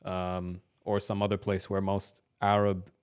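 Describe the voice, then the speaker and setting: A man's voice: deep voice, monotone, unhurried, smooth. A man of about thirty who grew up in New Zealand, not an English speaker, talking casually and hesitantly as an interview guest. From Iraq-born, grew up in New Zealand. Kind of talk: casual autobiographical conversation.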